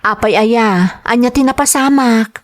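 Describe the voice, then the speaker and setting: A woman playing a devoted, reassuring wife and mother in a radio drama, with an Ilocano accent and expressive, emotive delivery. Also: a little high, unhurried, close to an everyday voice. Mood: surprised, concerned.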